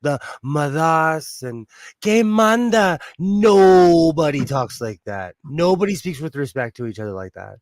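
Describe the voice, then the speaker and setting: Middle-aged male stream host, fairly high pitch, dry and close; easygoing banter full of laughter. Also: animated, no room sound. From Mexican-American family. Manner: chatty, laughs often, quick asides. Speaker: livestream host. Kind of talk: casual chat on a live stream.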